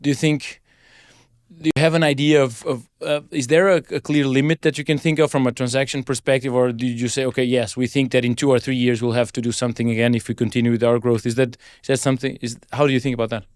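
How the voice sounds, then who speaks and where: Smooth-voiced man, tentative conversational interviewer. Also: mid-range voice, level tone, fast talker, dry recording, studio quality. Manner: tentative, rephrases questions, listens with murmurs. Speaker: podcast host, interviewer.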